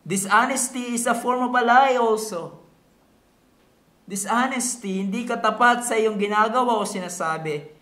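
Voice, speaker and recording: A Filipino man delivers a sermon in a high voice, taking his time over each phrase. He teaches point by point, asking rhetorical questions and stressing key words by repeating them. The room around him echoes noticeably. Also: a little slow, pushed and bright. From Philippines. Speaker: pastor. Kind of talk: sermon mixing Tagalog and English.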